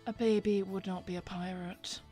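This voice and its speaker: A woman's voice: medium pitch, fairly even intonation, warm tone, faint music behind, lightly acted. A woman playing a prim, serious young female cat in an improvised cartoon role-play, speaking formally, politely and somewhat sternly. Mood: stern, exasperated. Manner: formal, proper, measured.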